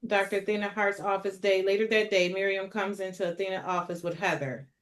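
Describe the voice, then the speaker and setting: A woman's voice: medium pitch, fairly even intonation, fairly quick, a little hiss or hum. A woman reading out scene descriptions as the narrator of a script table read. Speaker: narrator reading stage directions.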